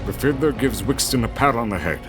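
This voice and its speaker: A man's voice: mid-range voice, animated, fairly quick. A man narrating a scripted fantasy audio drama in a dramatic storytelling style. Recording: music under the voice. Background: music.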